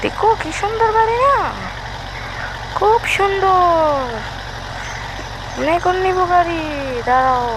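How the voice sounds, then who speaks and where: A woman's voice: very high voice, lively intonation, slow pace, long pauses, background noise. A woman giving casual game commentary for small children, in a childlike, repetitive, exclaiming patter. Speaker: gameplay video host.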